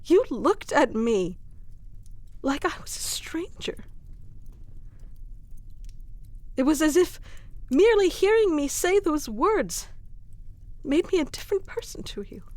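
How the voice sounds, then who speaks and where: A woman plays a proud fantasy queen in love and hurt by it. Her voice is high and swings widely with feeling. She speaks slowly and earnestly, in dramatic roleplay style, recorded close and dry. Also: very animated, a little mellow, dry recording. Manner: earnest, deliberate, emotionally charged delivery. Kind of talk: dramatic audio roleplay acting.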